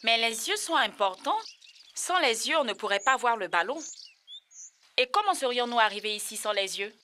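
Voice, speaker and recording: A woman voicing a sensible, earnest child in a children's cartoon, quick to correct others in a matter-of-fact way. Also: fairly high pitch, animated, lightly acted.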